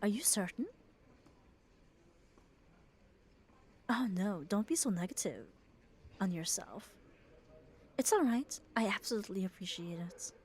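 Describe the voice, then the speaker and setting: A woman playing a muscular, devoted, gently dominant yandere girlfriend in an ASMR roleplay, speaking tenderly and soothingly and often dropping to a whisper. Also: medium pitch, lively intonation, normal speed, a little hiss or hum, lightly acted. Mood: affectionate. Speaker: ASMR voice performer. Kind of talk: ASMR roleplay, soft intimate acting.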